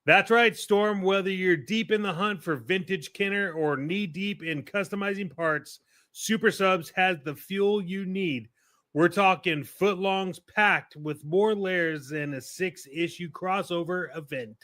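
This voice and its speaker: A man's voice: high voice, measured pace, a bit bright. An American man co-hosting a podcast, moving between casual chat and scripted sponsor reads. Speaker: podcast co-host.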